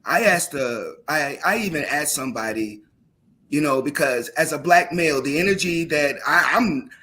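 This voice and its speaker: Man, teacher, panel discussion, loud voice, impassioned, forceful, bright tone. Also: fairly high pitch, animated, normal speed. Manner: impassioned, rising to emphatic questions.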